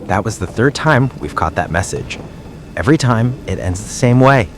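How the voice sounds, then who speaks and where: A man playing a weary small-town radio announcer giving grave, halting emergency broadcasts in a zombie apocalypse drama. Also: on the low side, lively intonation. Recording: noisy radio broadcast ambience.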